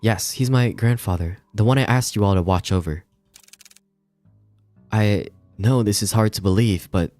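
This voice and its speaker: A man voicing a timid, worried young woman from a visual novel, earnest, hesitant and gentle. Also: fairly low pitch, normal speed, soft background music, natural voice. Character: timid, worried young woman seeking help for her grandfather. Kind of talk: visual novel character read aloud.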